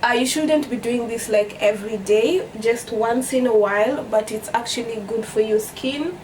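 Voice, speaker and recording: A young Kenyan woman with a fairly high voice talks casually to her viewers in a storytime vlog. Her accent is Kenyan, and she is chatty, often repeating phrases for emphasis. She sounds a little way from the mic, with faint noise around her. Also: moderate pace, a little mellow. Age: young adult. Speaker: vlogger. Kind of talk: casual storytime chat to camera. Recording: distant mic with background noise.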